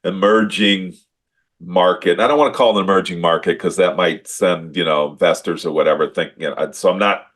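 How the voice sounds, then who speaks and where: Host: low-voiced man, casual, meandering questions. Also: brisk, fairly bright tone. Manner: rambling, hesitant questions with fillers. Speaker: podcast host. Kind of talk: conversational podcast interview.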